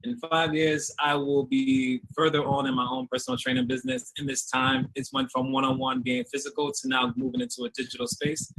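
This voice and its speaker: Bright, eager man on a video call. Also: medium pitch, gravelly, rough recording. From Trinidad and New York. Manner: upbeat, eager, animated. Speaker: contestant.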